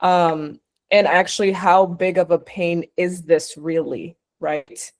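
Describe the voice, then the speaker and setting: Female investor judge from Uganda, low-pitched, conversational and probing. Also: steady tone, takes it slowly, darkish tone. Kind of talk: spontaneous feedback and questions.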